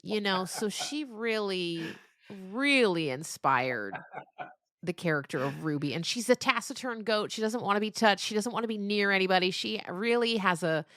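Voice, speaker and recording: A woman being interviewed as a novelist, telling stories casually in an animated, rambling way with emphatic descriptions. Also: mid-range voice, moderate pace, dry recording, studio quality.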